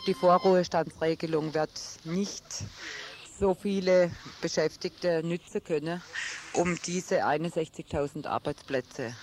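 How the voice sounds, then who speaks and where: A woman answering interview questions as a union representative, in a noisy recording. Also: low voice. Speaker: works group chairwoman.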